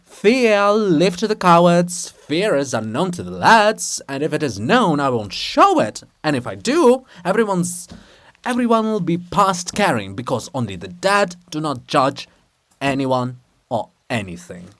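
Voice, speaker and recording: A man's voice: on the high side, sing-song, a little slow. Man performing a chanting, defiant chorus. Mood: shouted defiance. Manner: incantatory, building to shouts. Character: defiant theatrical chorus, chanting then shouting. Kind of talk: dramatic reading of a stage play.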